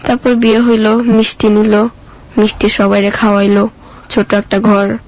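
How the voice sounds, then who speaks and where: Woman with a flat, creaky Bangladeshi voice. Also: mid-range voice, moderate pace, lo-fi sound. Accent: Bangladeshi colloquial Bengali. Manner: plain-spoken, recounting dialogue as she goes. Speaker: interview guest telling her life story. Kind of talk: personal storytelling in casual speech.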